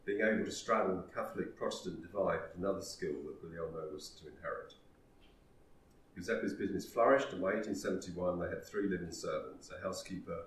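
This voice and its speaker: Very low voiced guy lecturing on history, kinda far from the mic, echoey room. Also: a little hiss or hum. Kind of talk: historical talk. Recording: roomy echo, distant mic.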